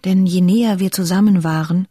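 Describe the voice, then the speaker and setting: An actress voicing a weary, resigned letter in a radio drama, quiet, breathy and intimate. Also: female voice, lowish voice, steady tone, brisk, no echo, clean studio sound. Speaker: actor in an audio drama.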